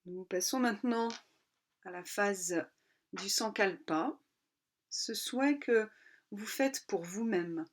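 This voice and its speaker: A woman yoga nidra instructor leading a guided relaxation in a calm, unhurried way, pausing between short instructional phrases. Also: mid-range voice.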